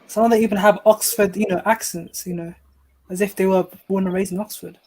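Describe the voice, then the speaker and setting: A woman's voice: medium pitch, fairly even intonation, a little fast, a little mellow, slightly rough. A woman on a live panel debate, speaking hesitantly with many "you know"s and restarts while she keeps asking for a chance to speak. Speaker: panel guest.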